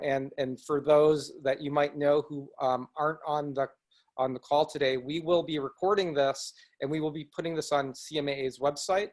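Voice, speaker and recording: A middle-aged man from the Chicago area introduces a webinar over a video call. His voice sits in an ordinary mid register, and he talks earnestly, stopping and restarting often as he gathers his thoughts. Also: medium pitch. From Chicago area, United States. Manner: earnest, halting, with frequent restarts. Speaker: nonprofit executive director, webinar host. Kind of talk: presenting in a webinar.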